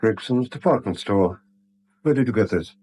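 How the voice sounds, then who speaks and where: A man's voice: fairly low pitch, lively intonation, moderate pace, mellow, husky. A man acting a polite, uneasy bank manager in a 1970s radio drama, formal and cautious. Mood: uneasy, sceptical.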